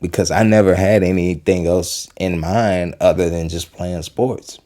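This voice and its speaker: A man's voice: deep voice, steady tone, unhurried, warm tone. A man in his early twenties from Western Pennsylvania, talking loosely about urgency in life and reacting to a clip, with lots of fillers and repeated phrases. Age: 20s. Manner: rambling, repeats himself, many fillers. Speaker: video host reacting to a clip. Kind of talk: casual motivational talk.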